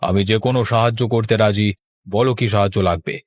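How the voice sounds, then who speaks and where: A man voicing a stern, principled middle-aged village landowner in a cartoon, speaking in a measured, formal way. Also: lowish voice, dry recording, studio quality, natural voice. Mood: grave. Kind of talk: cartoon voice acting.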